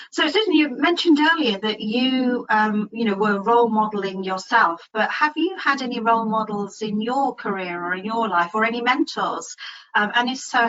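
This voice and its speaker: A woman hosting a webinar, asking a guest polite, measured questions. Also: a little high, fairly bright tone. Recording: dull lo-fi webinar audio.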